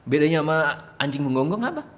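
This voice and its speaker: A man's voice: fairly high pitch, very animated, fast, heavy background noise. A man hosting a casual interview with a quiz game, playful and laughing often. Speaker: host.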